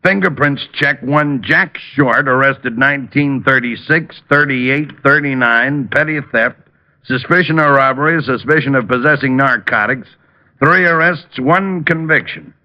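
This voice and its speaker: A man's voice: mid-range voice, measured pace, lightly acted. A man playing an authoritative, stubborn police lieutenant with a blunt, clipped manner in 1940s radio acting. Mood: dry, official. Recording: muffled old-time radio recording.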